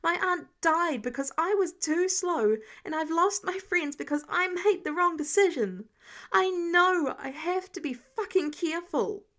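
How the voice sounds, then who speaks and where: A woman's voice: very high-pitched, unhurried, fairly bright tone, voice pushed up. A woman voicing a hot-headed, wounded teenage boy superhero in an audio story, emphatic and slangy. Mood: angry, desperate.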